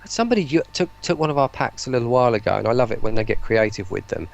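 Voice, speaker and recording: A man's voice: medium pitch, very animated, racing, gravelly. A man chatting casually as an interview guest, hesitating and repeating words as he explains his work. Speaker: interview guest, voice pack producer.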